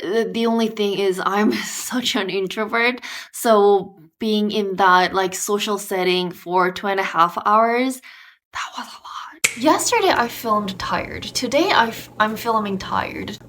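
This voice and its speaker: A woman's voice: medium pitch, fairly bright tone. A young woman from Korea, a native Korean speaker talking in English, reflecting in a casual, chatty vlog with lots of filler words and restarts. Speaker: vlogger.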